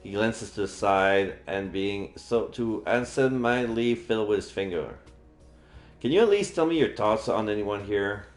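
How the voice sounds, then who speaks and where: Man, game streamer, reading visual novel text aloud, slightly low pitch, soft background music. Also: animated, moderate pace. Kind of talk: live read-aloud of visual novel lines. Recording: faint game music behind.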